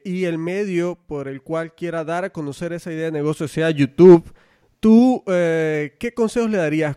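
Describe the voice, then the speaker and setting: A man hosts a casual podcast interview, agreeing readily and putting questions to his guest. His voice is smooth and mellow, pitched slightly high. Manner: friendly, agreeing, asks questions. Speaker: podcast host.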